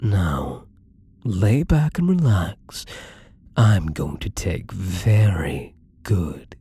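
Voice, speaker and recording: Possessive rich gambler in love, played by a man. Intimate ASMR roleplay, dark mellow tone, expressive swings in pitch, commanding and adoring. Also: full character voice. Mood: playful, seductive. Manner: breathy, slow, intimate, often dropping to a whisper.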